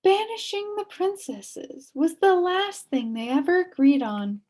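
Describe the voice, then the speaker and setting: A woman voicing a kindly, sad old witch from a storybook, gently resigned and soothing. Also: extremely high pitch, big pitch swings, measured pace, voice pushed up, full character voice. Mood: wistful.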